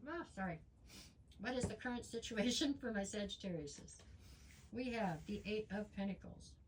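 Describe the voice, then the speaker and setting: A woman's voice: mid-range voice, lively intonation, moderate pace, slight background noise, poor audio. A woman reading tarot cards in a chatty way, losing her voice and with a stuffy nose. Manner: chatty, frequent ums, talks straight to viewers. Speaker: tarot reader.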